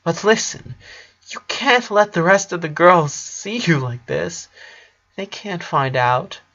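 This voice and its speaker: A man voicing a calm, gentle eleven-year-old boy who speaks softly and soothingly while hiding his grief. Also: high voice, takes it slowly, voice pushed up, heavily acted. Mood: pleading. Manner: serene, soft, soothing. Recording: dull lo-fi recording.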